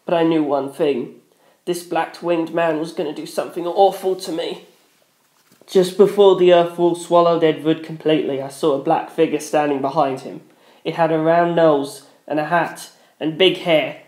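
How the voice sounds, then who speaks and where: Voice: mid-range voice, a little mellow. A narrator reading a dramatic first-person story. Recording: echoey room.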